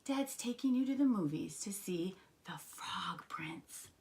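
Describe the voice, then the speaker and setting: A woman voicing a patient frog mother from a storybook, soothing and calmly firm. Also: mid-range voice, measured pace.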